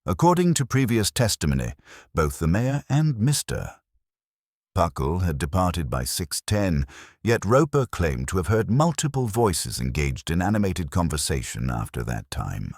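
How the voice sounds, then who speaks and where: A man narrates a mystery story in an extremely deep, husky voice recorded dry and close. His delivery is measured and wry, like a literary audiobook, with plenty of melodic movement. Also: sing-song, a little mellow, no echo.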